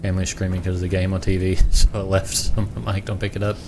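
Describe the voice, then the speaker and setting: A man's voice: sits low, monotone, quick pace, heavy background noise. A young man from the American South with a Southern accent, streaming a game and rambling in self-mocking asides. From Southern United States. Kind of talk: casual gameplay commentary. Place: home room.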